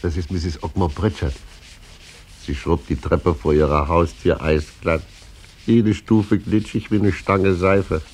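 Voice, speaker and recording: A very deep, low voice of an old blind sea captain. He chuckles as he comments on passers-by in a gossipy way. Faint noise sits under the radio-play recording. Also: fairly even intonation, unhurried, a little mellow.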